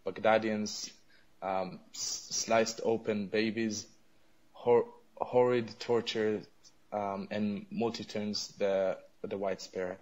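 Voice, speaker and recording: Hesitant low-voiced man, Arabic native. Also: little pitch movement, slow pace, darkish tone, rough recording. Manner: hesitant, many fillers and restarts. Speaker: interview guest. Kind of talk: conversational interview answers.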